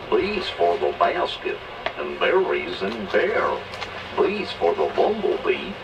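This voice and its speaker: Swooping, slow-paced man narrating a children's record. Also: lowish voice, huge pitch swings, vocal fry, lo-fi sound, noisy background. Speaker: read-along record narrator. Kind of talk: scripted children's rhyming narration. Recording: old children's record played back, distant, music behind.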